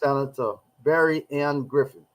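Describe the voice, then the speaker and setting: Deliberate male host, slightly higher voice. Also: steady tone. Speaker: talk show host. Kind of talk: hosting a live regional news roundtable.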